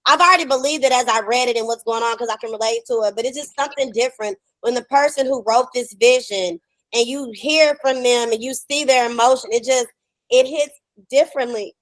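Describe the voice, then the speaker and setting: A woman's voice: a little high, brisk, no echo, low-quality recording. A middle-aged American woman hosting a podcast, chatting casually in an effusive, slangy way over a video call. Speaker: podcast host and developing actor. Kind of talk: casual emotional conversation.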